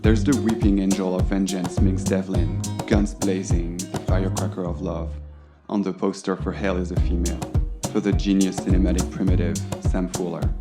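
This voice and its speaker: A very low-voiced film director, played by a man, pours out ornate, worshipful devotion. The delivery is literary and ardent, like a love letter read aloud in an audio drama. Plucked guitar music plays clearly behind the voice. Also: flat delivery, normal speed, lightly acted. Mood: ardent, reverent. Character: French film auteur, ardent, florid romantic letter writer.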